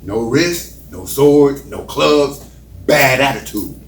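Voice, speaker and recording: A man playing Judas as a guilt-ridden betrayer in a church play monologue, explaining himself theatrically with halting, dramatic pauses. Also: mid-range voice. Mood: agitated. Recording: roomy echo, distant mic, faint noise.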